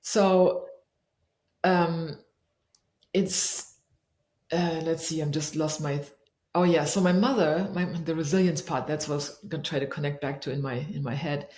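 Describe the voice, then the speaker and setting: A middle-aged woman from Germany, a leadership coach, answering interview questions thoughtfully and pausing often to search for her words. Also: deep voice, fairly even intonation, a little mellow. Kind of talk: reflective interview conversation. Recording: echoey room.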